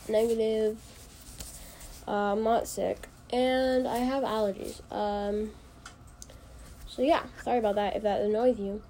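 A woman's voice: on the high side, dark tone, a little hiss or hum, low-quality recording. A young woman hosting a casual solo podcast, congested from allergies, rambling and joking at her own expense with lots of fillers. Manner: rambling, self-deprecating, full of fillers. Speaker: podcast host.

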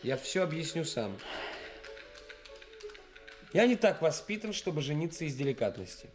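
A man's voice: a little high, very animated, normal speed. A man acting in a radio play as a young actor who poses as a brazen, cynical bridegroom, speaking in a theatrical, self-important, declamatory way. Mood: brazen, pompous. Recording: radio play recording with music.

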